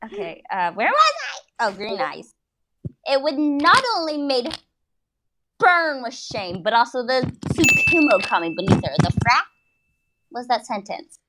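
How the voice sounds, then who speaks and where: A woman reads aloud in a high-set voice with wide, animated swings of pitch. She takes her time over the prose, hamming it up theatrically and dropping in joking asides. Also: measured pace, background noise. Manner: theatrical, jokey, breaks off to comment. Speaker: reader of fan fiction. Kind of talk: comic dramatic reading with asides.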